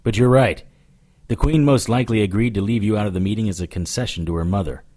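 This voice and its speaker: A man's voice: on the low side, fast talker, mellow, lightly acted. A man playing a wry, defiant supernatural investigator who narrates his case in an audio drama, dry and firm. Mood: placating. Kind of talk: first-person detective audio drama.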